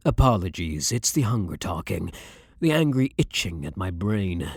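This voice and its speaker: A man playing a blunt, brooding vampire hunter, himself a vampire, in a measured, dominant ASMR roleplay with a breathy voice. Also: low voice, big pitch swings, no room sound, full character voice. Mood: hungry, self-loathing. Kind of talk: ASMR roleplay, dramatic monologue.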